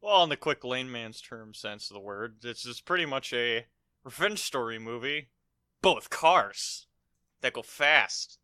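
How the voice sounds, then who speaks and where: A man's voice: mid-range voice, expressive, unhurried, sharp tone, clean tone. A man co-hosting a podcast in casual movie-review chat, blunt and given to swearing. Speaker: podcast co-host.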